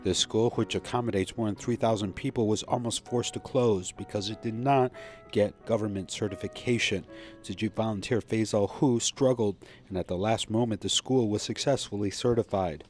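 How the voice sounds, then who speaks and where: A man reading scripted news narration in a measured, even delivery. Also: fairly low pitch, soft background music.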